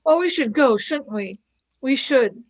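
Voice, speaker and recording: Eager young girl companion, high voice, woman acting it. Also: lively intonation, unhurried. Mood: earnest.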